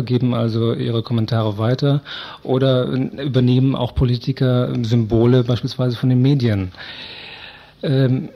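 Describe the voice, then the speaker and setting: A man with a dark voice and a noticeable fry gives an expert explanation for radio. He chooses academic wording deliberately and hesitates as he goes. The recording sounds somewhat lo-fi and muffled. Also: medium pitch. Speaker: interviewed expert on media and political discourse.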